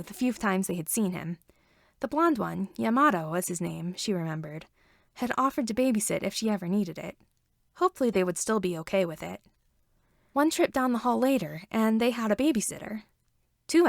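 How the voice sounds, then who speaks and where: A woman's voice: medium pitch, gravelly, no echo, studio quality. A woman reading a story aloud as scripted narration. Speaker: fan fiction reader.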